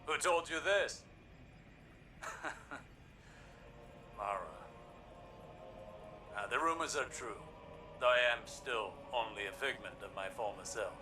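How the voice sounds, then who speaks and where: Crisp, scholarly older warlock. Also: male voice, medium pitch, bright, forceful tone, soft background music. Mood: dry, curious. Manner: formal, measured, erudite. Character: older scholarly warlock man, grave, proud, reflective. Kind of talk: video game voice acting.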